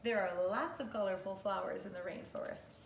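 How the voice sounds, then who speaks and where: A woman in her thirties, a kindergarten teacher reading aloud to young children in an expressive, instructive way and asking questions, recorded at home from a few metres away. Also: mid-range voice, brisk, vocal fry. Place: living room at home, indoors. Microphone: camera or phone a few metres away. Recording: roomy, distant mic, faint noise.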